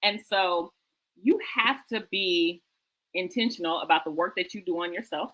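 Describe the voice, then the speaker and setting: A woman's voice: on the low side, normal speed, a bit bright, low-quality recording. A woman hosting a book talk show, speaking conversationally and enthusiastically in an emphatic, exhorting way that repeats phrases for effect.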